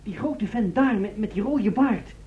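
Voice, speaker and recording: A woman's voice: mid-range voice, measured pace, close to an everyday voice. An actress playing an observant, forthright female captive in a Dutch radio play. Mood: alert. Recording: muffled, noisy old radio play recording.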